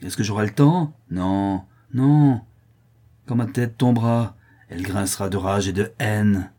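A man's voice: fairly low pitch, a little slow, dark tone. A man voicing a fierce, sneering, vengeful criminal mother awaiting execution, full of cold fury and scorn, in a dramatic story reading. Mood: defiant hatred.

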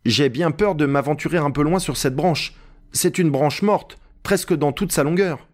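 A man's voice: on the high side, normal speed, voice pushed up, lightly acted. A man voicing a timid, naive, deferential male servant calling out plaintively in a storybook. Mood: fearful.

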